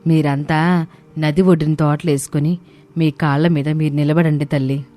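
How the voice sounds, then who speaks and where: Woman acting kindly older village matriarch, deep for a woman, mellow tone, encouraging storybook dialogue. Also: fairly even intonation, normal speed, faint music behind, close to an everyday voice.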